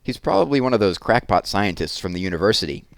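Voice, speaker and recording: A man's voice: fairly low pitch, very animated, quick pace, creaky, dry recording. A skeptical small-town policeman from a storybook, acted out by a man reading aloud. He is blunt and mocking, and the recording is dry.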